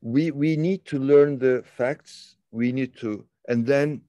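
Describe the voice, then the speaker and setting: A man from Turkey hosting a conversation over a video call, talking slowly with many fillers and restarts. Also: medium pitch, dark tone, no echo. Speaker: interview host. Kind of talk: casual conversation.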